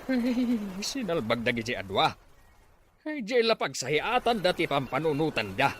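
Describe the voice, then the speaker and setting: A rather high male voice that swings widely in pitch, with a Filipino accent. A man acting as an exasperated father, grumbling and exclaiming in a radio drama over faint noise. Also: very animated, normal speed, a bit bright. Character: exasperated father of grown children abroad.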